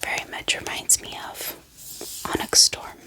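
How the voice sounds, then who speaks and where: Whispering woman, gentle ASMR host. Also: poor audio. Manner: gentle, slow, repeats words softly. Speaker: ASMR book haul host. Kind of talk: soft-spoken whispered ASMR show-and-tell.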